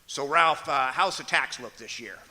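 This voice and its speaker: Very forceful dry wisecracking husband played by a man. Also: mid-range voice, normal speed. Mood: wry. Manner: hesitant, dry, punctuated with stammers. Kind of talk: old-time radio comedy acting on stage. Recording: echoey auditorium, noticeable noise.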